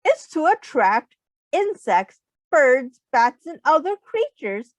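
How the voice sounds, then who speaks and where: A woman with a high, hugely varied voice reads aloud to young children. She teaches as she goes, taking her time, explaining in simple terms and putting questions to the listeners. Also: slow, dry recording. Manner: instructive, expressive, addressing young children with questions.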